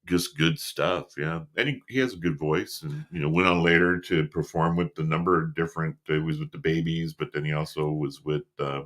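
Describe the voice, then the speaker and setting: A middle-aged man with a deep voice, co-hosting a casual podcast chat in an easygoing, rambling way. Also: fairly even intonation, brisk, few pauses, a bit bright. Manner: easygoing, rambling with fillers and restarts. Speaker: co-host.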